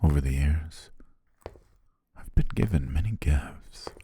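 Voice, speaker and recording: A man playing a courteous, devious hypnotist in an ASMR roleplay, speaking in a soothing, breathy whisper with genteel, deliberate pauses. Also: expressive, mellow, slight background noise, full character voice. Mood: smooth, reassuring. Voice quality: whispery, breathy, very low and gravelly. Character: devious, courteous male hypnotist welcoming a client.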